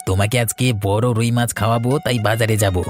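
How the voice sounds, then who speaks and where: A man's voice: on the low side, steady tone, brisk, faint music behind, natural voice. A man playing an insolent, bullying young village crook in a cartoon folk tale, with slangy, threatening talk. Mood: boastful.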